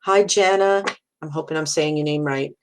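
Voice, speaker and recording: A middle-aged woman with a Brooklyn accent, a psychic medium hosting a casual live video and greeting viewers. She talks in a warm, reassuring way, restarting phrases and leaning on "you know.". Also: deep voice, normal speed, a little hiss or hum. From Brooklyn, New York. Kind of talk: casual live-stream talk, reading viewer comments.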